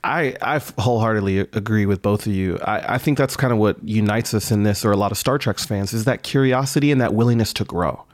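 A man's voice: fairly low pitch, quick pace, hardly any pauses, no echo, clean studio sound. A man speaking as a podcast guest in casual conversation.

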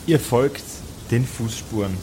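A man narrating an improvised tabletop adventure as game master, with deliberate phrasing and dramatic pauses. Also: mid-range voice, warm tone, a little hiss or hum.

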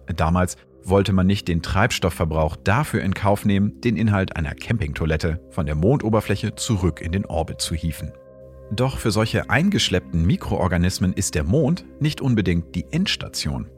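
Deep, lively science explainer, male. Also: moderate pace, soft background music. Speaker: podcast host and astrophysicist. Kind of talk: scripted science narration.

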